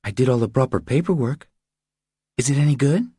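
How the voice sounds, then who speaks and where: A man voicing an anxious, frightened woman in an audiobook, with thin, breathy, urgent questions and pleas. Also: mid-range voice, a little fast, warm tone, dry recording, studio quality, voice pushed up. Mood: uneasy, pleading. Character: anxious, frightened adult woman seeking protection. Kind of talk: audiobook character dialogue.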